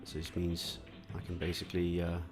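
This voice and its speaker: A man from Denmark commenting live on a pool match, explaining shots in a relaxed way and answering viewer comments, with frequent fillers. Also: low voice, brisk, soft background music.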